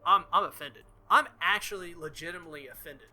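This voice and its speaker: A man doing animated, exclamatory playthrough commentary while reading a visual novel aloud. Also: fairly high pitch, a little fast, soft background music.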